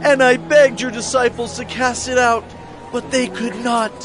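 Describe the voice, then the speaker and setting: A man's voice: very high-pitched, little pitch movement, measured pace. A man playing a desperate father pleading from a crowd in a dramatized audio Bible, with an imploring manner. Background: faint music.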